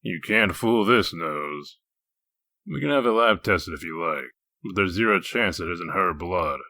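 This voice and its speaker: A man playing a gruff, humorless wolf-man detective in a comic dub, curt, stern and deadpan. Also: deep voice, measured pace, no room sound, studio quality, heavily acted. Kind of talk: comic dub character acting.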